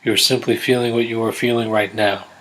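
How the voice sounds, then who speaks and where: A man guiding a body scan meditation in a gentle, unhurried, measured way, leaving pauses between instructions. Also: medium pitch, flat delivery, low-quality recording. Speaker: meditation guide. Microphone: close USB condenser microphone.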